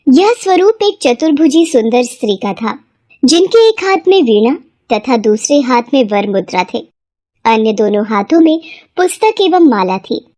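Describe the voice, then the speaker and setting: A woman's voice: high voice, very animated, moderate pace, mellow, no echo, studio quality. A woman narrating a scripted devotional story.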